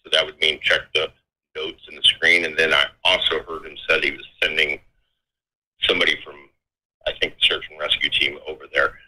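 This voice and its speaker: A man giving an informal, halting account of events, often restarting his phrases. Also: very deep voice, little pitch movement, pushed and bright, breathy edge, poor audio. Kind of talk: informal spoken account of scanner reports.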